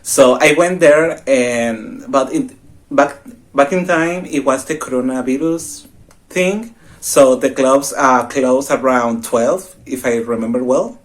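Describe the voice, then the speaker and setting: A young man from Mexico chatting on a podcast, telling stories in an animated way and laughing often. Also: medium pitch, takes it slowly. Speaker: podcast co-host. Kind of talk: casual chat.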